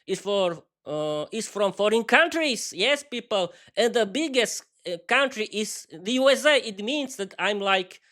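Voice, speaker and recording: Slovak guy in his thirties rambling on his own podcast, super excited, stumbles and repeats a lot. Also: high voice. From East Slovakia. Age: 30s. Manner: enthusiastic, halting, repeats words and cheers. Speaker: podcast host. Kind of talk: improvised solo podcast monologue.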